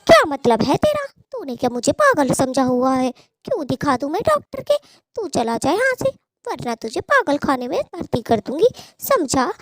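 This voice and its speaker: A woman voicing a scheming, quick-tempered female cartoon bird with a sharp-tongued, dramatic way of talking. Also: high voice, big pitch swings, no echo. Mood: angry, threatening. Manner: scolding, threatening, dramatic. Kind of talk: Hindi cartoon story dialogue.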